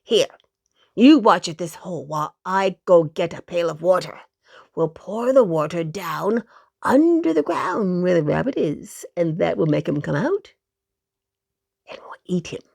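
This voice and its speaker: Storybook villain: savage male hunting dog played by a woman, commanding, scheming, unhurried, with some creak. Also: fairly low pitch, big pitch swings, darkish tone, pitched below the performer's own voice, heavily acted. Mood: menacing, scheming. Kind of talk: children's storybook character voice.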